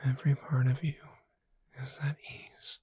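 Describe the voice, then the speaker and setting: A woman playing a gentle witch bookseller in a soothing, hushed, whispered ASMR roleplay, close to the microphone. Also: extremely low pitch, flat delivery, measured pace, no room sound, full character voice. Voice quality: breathy whisper. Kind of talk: soft-spoken ASMR roleplay. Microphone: close microphone in a dry space.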